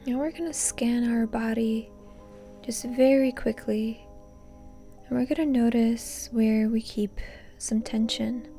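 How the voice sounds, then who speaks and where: Slow, high, soft-voiced woman guiding meditation. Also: soft background music. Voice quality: soft, breathy, often near a whisper. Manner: calm, unhurried, gently instructing. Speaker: guided meditation host. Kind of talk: soothing guided meditation narration.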